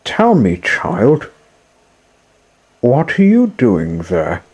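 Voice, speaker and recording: A man voicing a large, calm male pigeon in a storybook reading, measured and informative. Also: medium pitch, husky, pitched above the performer's own voice, full character voice.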